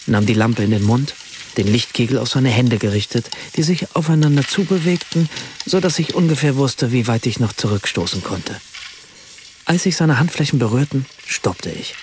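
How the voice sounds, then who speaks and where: Male writer-narrator for radio drama: measured, exact, mid-pitched, played by an actor. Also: lively intonation, slight background noise, natural voice. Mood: reflective, measured. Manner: measured, precise storytelling. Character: German writer narrating a remembered family evening in Rome. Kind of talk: scripted radio play narration.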